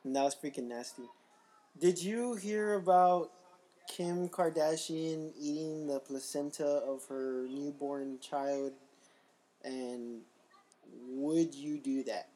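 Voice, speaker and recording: A man hosting a casual podcast, his voice a little raspy, joking and reading things aloud. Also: fairly high pitch, slow, warm tone, poor audio. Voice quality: slightly raspy. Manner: laughing, joking, reads aloud. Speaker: podcast host.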